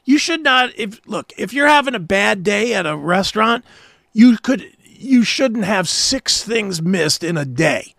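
A man's voice: sits high, animated, measured pace, creaky, fairly bright tone, no echo, studio quality. A middle-aged American man co-hosting a talk show, chatting casually and bluntly with strong opinions and some swearing.

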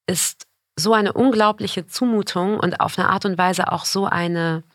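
Reflective woman reporter, low dry voice. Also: measured pace, no echo. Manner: reflective, searching for words. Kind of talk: casual conversation.

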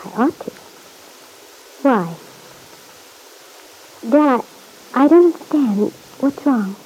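A woman's voice: high-pitched, expressive, slow pace, warm tone, husky, heavily acted, low-quality recording. An actress playing an anxious, guilt-ridden young mother in an old-time radio soap opera, hesitant, plaintive and defensive. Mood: bewildered.

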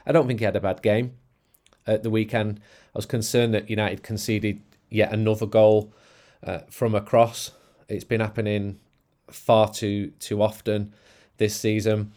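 A man on a podcast giving measured, considered opinions. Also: lowish voice, little pitch movement, darkish tone. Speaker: podcast guest. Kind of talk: casual chat.

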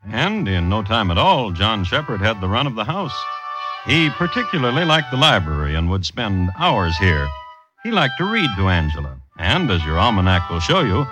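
Droll, animated low-voiced radio narrator, man. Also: low-quality recording. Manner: wry, droll, storytelling. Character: wry, droll male storyteller of a period tale. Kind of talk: old-time radio scripted narration.

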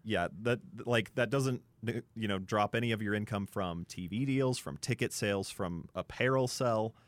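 A man's voice: on the low side, normal speed, no echo. A man on a sports talk panel, speaking off the cuff and reasoning aloud in a conversational way. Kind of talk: unscripted sports discussion.

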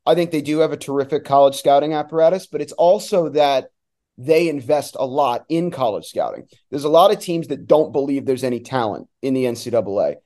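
A man's voice: medium pitch, animated, brisk, dark tone. A man who works as a soccer announcer, chatting casually and enthusiastically in detail as a podcast guest. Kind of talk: casual sports analysis chat.

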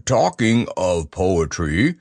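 Guy doing a low slow dopey bear voice, gentle, kinda dreamy and unsure. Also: big pitch swings, dry recording, clean studio sound, exaggerated voice. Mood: hesitant. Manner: slow, hesitant, hums and stammers. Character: slow, simple-minded male bear, gentle and hesitant.

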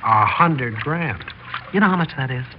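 A muffled, noisy vintage radio recording of a man acting as a cocky, menacing gang leader. He talks in bossy slang, taunting, with a pitch that swings widely. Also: lively intonation, moderate pace, lightly acted. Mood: smug, bossy. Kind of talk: old-time radio crime drama acting.

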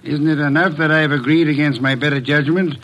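A man plays a ponderous, self-important detective in an old radio mystery. His voice sits fairly high for a man, and he grumbles in long, formal sentences, balking at being hurried. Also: steady tone, a bit bright, lo-fi sound, natural voice. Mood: grumbling, irritated. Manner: pompous, grumbling, formal wordy speech. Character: bulky, balky, pompous genius detective who loathes hurry. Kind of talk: 1940s-50s American radio drama acting.

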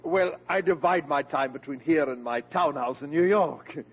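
Pompous old-radio freeloader, high-voiced. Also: male voice, moderate pace, husky. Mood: grandly boastful. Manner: haughty, florid, theatrical enunciation. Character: pompous, freeloading uncle posing as a wealthy gentleman. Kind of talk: 1940s radio comedy acting. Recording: dull, noisy old radio recording.

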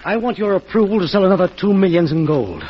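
Formal treasury man in vintage radio play; high voice, muffled, faintly noisy. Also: expressive, fast. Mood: concerned, formal. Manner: formal, respectful. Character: formal, dutiful government treasury official. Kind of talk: old-time radio drama acting. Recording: dull, noisy old radio broadcast.

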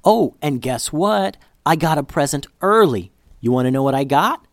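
A man voicing an excitable, chatty young girl in a children's storybook, gushing breathlessly. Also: mid-range voice, moderate pace, dry recording, clean studio sound, natural voice. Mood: excited, gushing.

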